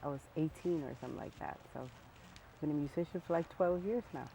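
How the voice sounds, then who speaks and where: A woman's voice: deep voice, brisk, creaky, a little hiss or hum, rough recording. Laid-back woman in her thirties, outdoors. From Sweden and Gambia. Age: early 30s. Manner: relaxed, laughing, trailing off with fillers. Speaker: guest singer. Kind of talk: casual interview chat. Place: outdoors in a sunny garden by palm trees.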